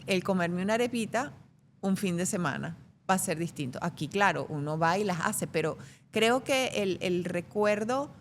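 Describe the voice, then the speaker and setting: A middle-aged Venezuelan woman with a Venezuelan Spanish accent, speaking thoughtfully as an interview guest with frequent pauses and restarts. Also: lively intonation. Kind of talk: reflective interview conversation. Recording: faint background rumble.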